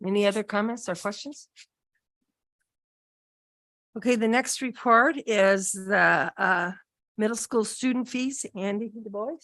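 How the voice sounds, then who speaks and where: A woman chairing a school board meeting, calling reports and keeping things moving in a brisk, procedural way. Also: mid-range voice, a bit bright, no echo.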